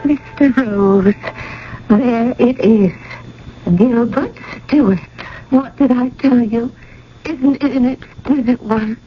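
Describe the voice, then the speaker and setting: A woman's voice: on the high side, takes it slowly. A woman playing a proud, genteel older widow with a refined, formal manner in a 1980s radio drama. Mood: proudly admiring. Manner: refined, formal, gently insistent. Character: proud, genteel impoverished society widow, gracious hostess. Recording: noisy, muffled old radio broadcast.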